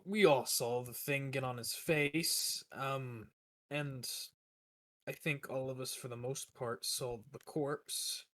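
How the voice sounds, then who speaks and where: Slow-talking man with a bright voice. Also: medium pitch, fairly even intonation. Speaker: player in a tabletop role-playing game. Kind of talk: tentative in-character questioning.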